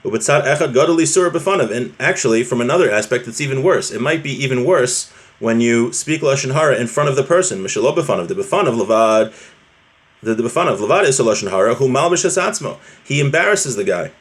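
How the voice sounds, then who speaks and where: Male religion teacher, mid-pitched voice, informal and explanatory, walking listeners through a text and checking in as he goes. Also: lively intonation, a little fast. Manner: explanatory, with rhetorical check-ins.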